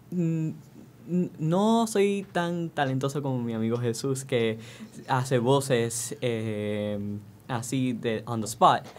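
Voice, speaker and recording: A young man, answering interview questions in a thoughtful, halting way. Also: medium pitch, a little mellow, slight background noise. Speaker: student actor, interview guest.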